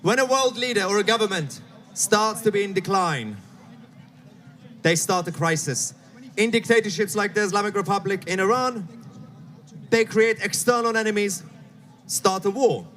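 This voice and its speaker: A man giving an impassioned outdoor rally speech, shouting to a crowd. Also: high-pitched, normal speed, long pauses. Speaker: rally speaker.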